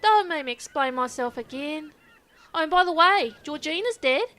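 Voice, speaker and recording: Brash aussie presenter, really high sharp voice, indignant and matey, audio comedy. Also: female voice, lively intonation, normal speed. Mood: blithe, matey. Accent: Australian. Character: brash, dim, indignant Australian female show presenter.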